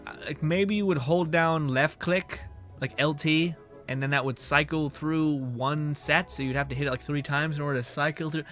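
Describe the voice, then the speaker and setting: A man's voice: on the high side, a bit bright. Slangy, rambling male game streamer over music. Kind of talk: casual live game commentary.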